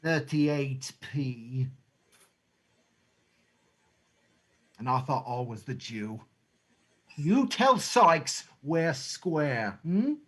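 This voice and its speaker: Theatrical thieves' gang boss, stage play reading, oily endearments, very lively pitch, unhurried delivery. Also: slightly rough, full character voice. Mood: wary, grudging.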